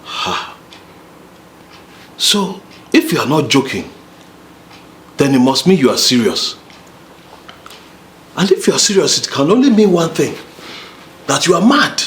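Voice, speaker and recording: An actor with a Nigerian accent playing an argumentative, mocking husband in a film, reasoning in an incredulous, drawn-out way. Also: male voice, a little high, sing-song, a bit bright, slight background noise.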